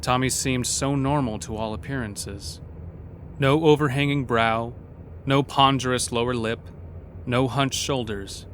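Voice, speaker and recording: A man narrating a scripted horror story in a measured, literary, dramatic manner. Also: mid-range voice, steady tone, fairly bright tone, a little hiss or hum.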